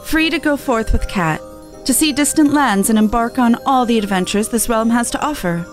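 An earnest, courtly teenage artisan from a fantasy game, voiced by a woman. The voice is high and the phrasing formal and old-fashioned, with clear music behind it. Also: moderate pace, natural voice. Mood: solemn wonder. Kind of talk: video game dialogue read aloud.

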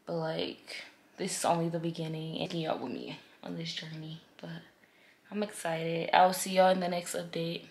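A young woman in her twenties talks straight into a close camera, candid and heartfelt, with loose slang. Her voice sits a little low for a woman. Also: fairly even intonation, moderate pace, darkish tone. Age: 20s. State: congested, stuffy. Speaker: vlogger. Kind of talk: casual vlog chat to camera. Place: bedroom, indoors, then in a car.

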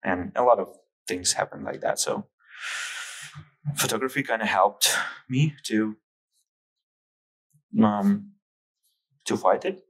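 A man's voice: on the low side, slow pace, long pauses, a bit bright, breathy edge, poor audio. A man from Ukraine with a Ukrainian accent, chatting casually and telling anecdotes on a podcast. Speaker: podcast guest, hobby photographer.

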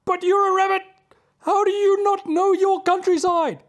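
A woman voicing a loud, husky old male bird who is impatient and bossy. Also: mid-range voice, big pitch swings, normal speed, close to an everyday voice.

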